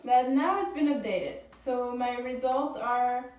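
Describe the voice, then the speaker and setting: A young woman from France co-hosts a vlog, calmly talking through things at an even pace. Her voice sits fairly high. It is recorded from some distance in a very echoey room. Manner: calm, measured explaining. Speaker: vlog co-host. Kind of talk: casual chat.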